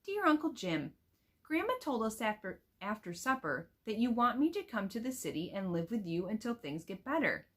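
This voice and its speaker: An earnest, eager young girl from a picture book tells her letters home, read out by a woman. The delivery is careful and expressive, in the manner of storybook reading. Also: fairly high pitch, normal speed, voice pushed up.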